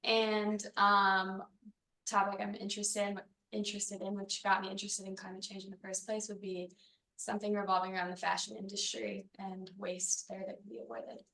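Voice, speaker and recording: A young woman from Illinois introduces herself, pausing to find her words. Her voice is fairly even, set back from the mic, with the room's echo around it. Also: medium pitch, gravelly. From Illinois, United States. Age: young adult. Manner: searching for words. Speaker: exchange student. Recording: distant, echoey classroom.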